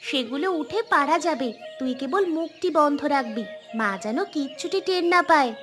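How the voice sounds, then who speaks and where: A mischievous village girl, played by a woman in an audio story. Her voice is extremely high and bright. She bosses and scolds like an elder sister and is quick with a sly excuse. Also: animated, soft background music. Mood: sly, eager. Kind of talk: acted audio story dialogue.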